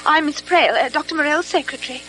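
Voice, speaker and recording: Casting: earnest, flustered British secretary, radio mystery, high animated voice, old noisy recording. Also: female voice, quick pace. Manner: flustered, hesitant, proper. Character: anxious, earnest young female secretary to a doctor. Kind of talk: old-fashioned British radio drama acting.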